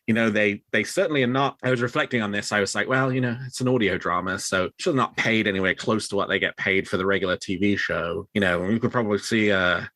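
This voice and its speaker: Male podcast host, fairly deep and bright, discussing and reporting in an emphatic conversational style. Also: dry recording. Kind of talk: podcast discussion and news commentary.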